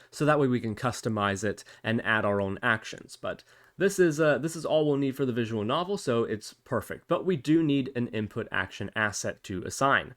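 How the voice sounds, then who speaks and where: A man presenting a screencast software tutorial, explaining step by step in an informal, casual way. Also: medium pitch.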